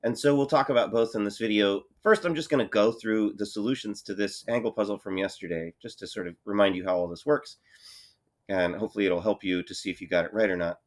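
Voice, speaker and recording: A man's voice: lowish voice, quick pace. Congested man teaching with a cold. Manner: relaxed, thinking aloud with many fillers. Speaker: math teacher. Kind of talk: recorded lesson walkthrough.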